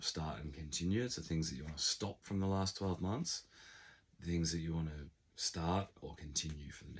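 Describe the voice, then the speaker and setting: A man with a croaky, hoarse voice, still sick, talking over his year in a reflective, unhurried vlog with many ums. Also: a bass voice. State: hoarse, recovering from illness. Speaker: channel creator. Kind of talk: casual vlog monologue. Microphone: unfamiliar backup microphone.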